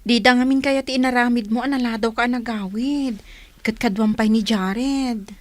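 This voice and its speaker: An actress with a Filipino accent playing a stern, protective elder sister in a village radio drama. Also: female voice, a little high, steady tone, moderate pace, no echo, close to an everyday voice. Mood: accusing. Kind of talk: Ilocano radio drama acting.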